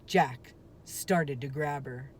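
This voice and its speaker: Low-voiced, slow-reading woman storyteller. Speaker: teacher reading aloud. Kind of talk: children's storybook read-aloud narration. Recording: faint background noise.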